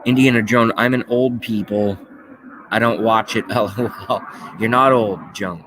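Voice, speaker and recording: A man of thirty-five hosting a livestream, talking casually and haltingly as he rants and reads out chat messages, with some swearing. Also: on the low side, fairly even intonation, noisy background. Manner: rambling, halting, often swearing. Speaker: livestream host. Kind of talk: casual political rant while reading live chat.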